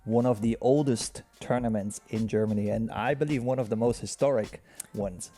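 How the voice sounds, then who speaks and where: A man's voice: lowish voice, fairly even intonation, moderate pace, mellow, faint music behind. A man co-commentating on a disc golf round, chatting and reading out player stats. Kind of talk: sports commentary.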